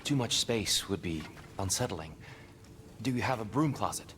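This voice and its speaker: Low-voiced, broken, weary giant. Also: male voice, animated, long reverb tail, slight background noise. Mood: meek, humble. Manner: halting, gentle, subdued. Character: broken, weary, humble giant war god freed from captivity. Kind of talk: dramatic video game acting.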